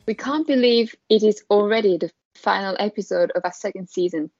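A woman co-host of a podcast reads the intro and asks questions in a mid-pitched voice. The sound is dry and a bit muffled, lo-fi. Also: a little fast, darkish tone, no room sound, soft background music. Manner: friendly, thanks listeners. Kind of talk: scripted intro and interview questions.

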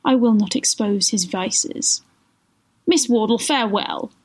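A woman reading a classic novel aloud, voicing a glib, scheming gentleman who talks in clipped, theatrical bursts. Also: fairly high pitch, lively intonation, measured pace, voice pushed up. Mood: melodramatic. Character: glib, unabashed, scheming melodramatic gentleman rogue. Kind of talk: literary audiobook character reading.

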